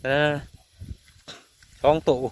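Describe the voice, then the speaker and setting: A man with an Isan accent chatting casually and folksily to his viewers as he vlogs outdoors at night. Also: drawn-out words. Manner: folksy, repeatedly addresses viewers. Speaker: vlogger hunting frogs at night. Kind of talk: casual vlog chat to viewers. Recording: outdoor recording with faint insect noise.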